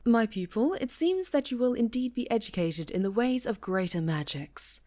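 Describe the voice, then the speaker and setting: A woman voicing a stern, cautious high enchantress and mentor, speaking gravely and formally in a fantasy roleplay. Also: expressive, moderate pace, a little mellow, natural voice. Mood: stern, resigned. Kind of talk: fantasy ASMR roleplay acting.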